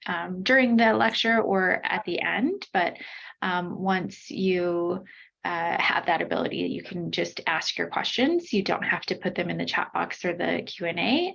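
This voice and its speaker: A woman's voice: lowish voice, creaky, a bit bright, highs cut off. A woman moderating an online webinar, giving housekeeping notes and introducing the speaker with many hesitant fillers, heard over a video call.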